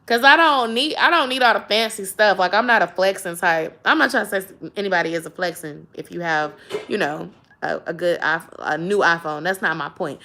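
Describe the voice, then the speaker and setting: A woman's voice: fairly low pitch, fairly quick, bright, forceful tone. A woman from Georgia with a Southern accent, chatting casually with viewers on a livestream and greeting them with y'all. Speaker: YouTube host.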